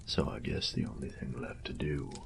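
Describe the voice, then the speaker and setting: Man playing a very deep, gravelly bandit. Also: flat delivery, a bit bright, slight background noise, full character voice. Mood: gruff, grudging. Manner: slow, taunting drawl with folksy, profane phrasing. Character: sadistic male bandit, cocky, teasing, menacing rogue. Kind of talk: intimate roleplay audio drama.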